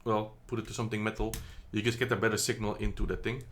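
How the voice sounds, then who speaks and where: Casual low-voiced man reviewing a gadget. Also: little pitch movement, fast talker, a bit bright. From Netherlands. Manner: chatty, hesitant, many ums and self-corrections. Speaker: product reviewer. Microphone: phone camera.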